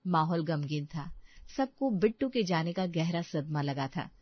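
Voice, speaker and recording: A woman's voice: low-pitched, lively intonation, unhurried, no room sound, lo-fi sound. A woman narrating a scripted bedtime-style moral story.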